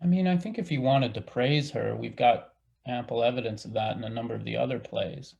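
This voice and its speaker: A man speaking as a theater director in a casual panel discussion, haltingly, thinking aloud as he goes. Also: mid-range voice, gravelly, a little mellow, lo-fi sound.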